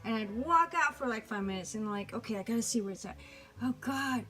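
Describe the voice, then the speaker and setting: A woman's voice: fairly high pitch, expressive, fairly quick, fairly bright tone, soft background music. A woman from Miami co-hosting a podcast, chatting casually, swearing freely and drifting into asides. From Miami, Florida. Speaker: podcast co-host. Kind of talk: casual chat.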